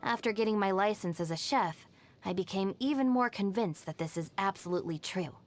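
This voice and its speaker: A woman's voice: medium pitch, brisk, lightly acted. An actress playing a level-headed, self-reliant young woman in a video game, speaking in a composed, dry, matter-of-fact way. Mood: calm, assured. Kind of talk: scripted video game character voice lines. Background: background music.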